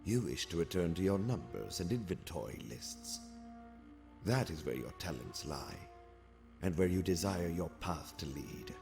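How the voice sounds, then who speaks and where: Male actor as alien military strategist for sci-fi audio comic. Deep, formal, composed, calculating; music clearly underneath. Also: expressive, exaggerated voice. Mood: calm, analytical. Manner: formal, measured, precise phrasing. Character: calm, exiled alien warrior strategist, courteous and calculating. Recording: music behind the voice.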